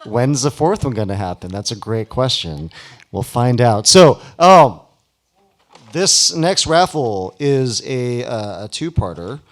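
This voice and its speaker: A man's voice: mid-range voice, sing-song, slow pace. A man hosting a live ceremony in a casual, informal way with playful banter. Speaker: event host. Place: live awards ceremony before an audience.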